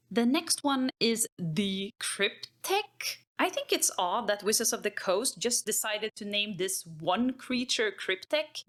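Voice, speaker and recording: A woman's voice: medium pitch, a bit bright, natural voice. A woman playing a cheerful, geeky techpriest who chats informally while narrating card game lore in character. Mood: explaining.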